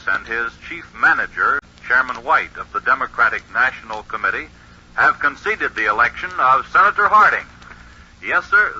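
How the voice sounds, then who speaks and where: An actor playing an eager 1920s radio announcer in a radio drama, relaying bulletins in a formal, emphatic way. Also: male voice, mid-range voice, pushed and bright. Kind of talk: 1940s radio drama acting, news bulletin delivery. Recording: muffled, noisy vintage 1940s radio recording.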